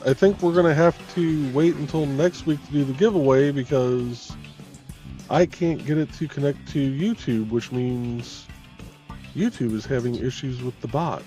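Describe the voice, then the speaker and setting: Relaxed man explaining stream tech. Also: medium pitch, moderate pace, soft background music. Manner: relaxed, explains technical issues. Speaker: co-host handling stream tech. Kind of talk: casual chat.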